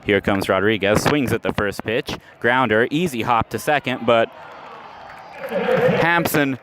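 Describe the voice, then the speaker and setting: A man calling baseball play-by-play for radio, setting the action against stats in a steady, measured delivery, recorded live at an outdoor ballpark. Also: medium pitch, over a noisy crowd. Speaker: play-by-play announcer. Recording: noisy outdoor ballpark ambience.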